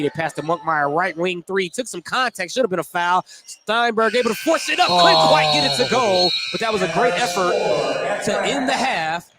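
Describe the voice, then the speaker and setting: Man calling basketball over noisy gym sound. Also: fairly high pitch. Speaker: play-by-play basketball announcer. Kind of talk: live radio sports commentary.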